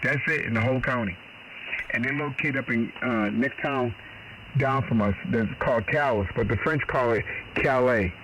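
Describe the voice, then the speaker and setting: A man's voice: mid-range voice, normal speed, a bit bright, a little hiss or hum, low-quality recording. A middle-aged man, chatting on amateur radio in a folksy, rambling, mildly salty way. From Boston. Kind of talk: casual radio chat.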